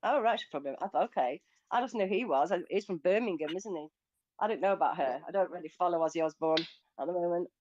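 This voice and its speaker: A woman's voice: lowish voice, quick pace, a little mellow, dry recording, highs cut off. A woman from Greece with a British accent, chatting in an online audio room, often laughing and restarting her sentences. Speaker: guest speaker. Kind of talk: casual online audio chat.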